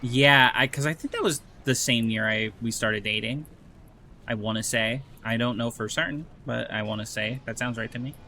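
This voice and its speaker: Bright-voiced rambling gaming streamer man. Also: medium pitch, normal speed. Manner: rambling, joking, with many restarts. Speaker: streamer playing a video game with friends. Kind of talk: casual gaming chat.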